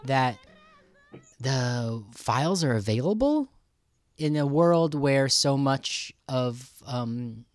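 Halting man hosting a casual interview. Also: mid-range voice, expressive, dry recording, faint music behind. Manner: halting, thinking aloud. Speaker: podcast host.

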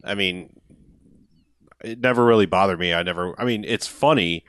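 A man with a low voice chatting on a podcast in a blunt, offhand way. He swears casually. The mic is close, with no room sound. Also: moderate pace, fairly bright tone, no room sound. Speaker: podcast co-host.

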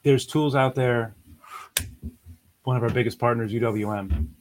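A man's voice: mid-range voice, unhurried, background noise. A man in the middle of his range answers a podcast host's questions. He speaks in short, emphatic, direct sentences. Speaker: podcast guest. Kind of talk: casual interview chat.

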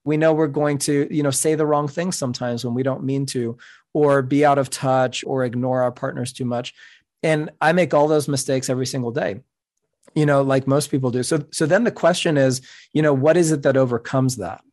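A middle-aged man whose first language is Spanish, speaking as a podcast guest in an earnest, measured, explanatory way. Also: mid-range voice, steady tone, a little mellow. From New York City. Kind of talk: reflective interview talk.

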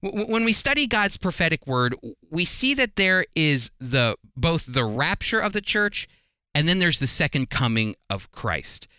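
Guy hosting a bible radio show, higher voice, super animated, earnest, talks like he's explaining to folks. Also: moderate pace, smooth, no room sound. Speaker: host of a religious radio teaching program. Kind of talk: conversational Bible teaching for radio.

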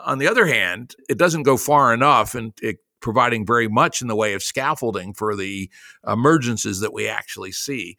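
Low gravelly man, bright and dry. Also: no echo. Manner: thoughtful, measured, digressive. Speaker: podcast host. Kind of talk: conversational interview.

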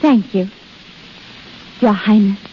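An actress playing a gentle, romantic young noblewoman, demure and refined, in a 1930s radio drama style. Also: medium pitch, slow pace, slight background noise, close to an everyday voice. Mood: dreamy, admiring. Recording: dull 1930s radio recording, music behind.

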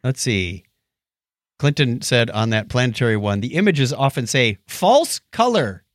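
Guy cohosting a casual science podcast, talks fast, really lively pitch swings, dry close sound. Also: no room sound. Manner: fast-talking; animated, acts out quips in mock voices. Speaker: podcast co-host.

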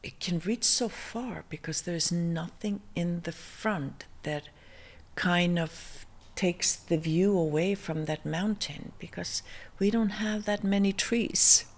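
A middle-aged woman with an Icelandic accent speaks English in an unhurried, searching way, pausing on fillers and starting words over. Her voice sits a little low. She is a guest in a relaxed interview. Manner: slow, hesitant, many fillers and restarts. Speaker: fashion designer guest. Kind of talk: interview conversation.

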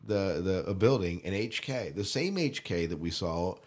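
A man's voice: deep voice, lively intonation, normal speed. A man co-hosting a podcast in a casual, conversational fan discussion. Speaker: podcast co-host.

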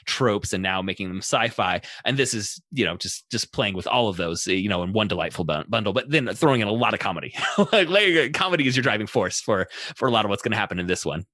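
A man's voice: fairly low pitch, animated, fast, bright, forceful tone, dry recording, clean studio sound. A man on a podcast panel, explaining pop culture in a casual, analytical and discursive way.

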